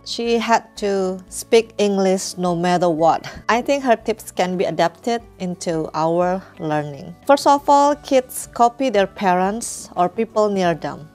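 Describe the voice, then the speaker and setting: A woman's voice: mid-range voice, darkish tone. Indonesian-accented woman teaching English. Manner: friendly, anecdotal, with easy laughs and restarts. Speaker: English teacher, channel host. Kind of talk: casual teaching talk.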